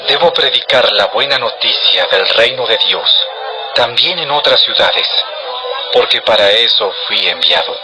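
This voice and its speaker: A man's voice: lowish voice, expressive, a little slow, over music, poor audio. An actor playing an authoritative, solemn male preacher who teaches in emphatic, declamatory lines in an audio Bible drama. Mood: resolute.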